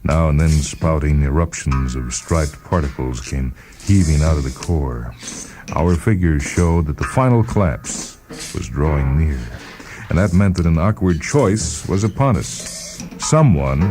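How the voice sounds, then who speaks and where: A man reading a first-person short story as a measured, deliberate dramatic narrator. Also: very deep voice, lively intonation, over music.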